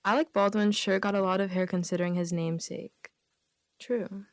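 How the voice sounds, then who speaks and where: A woman with a mid-pitched voice in a very dry recording. She is a guest on a comedy show, reading written jokes aloud and dropping in casual comments of her own. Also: fairly even intonation, moderate pace, dry recording.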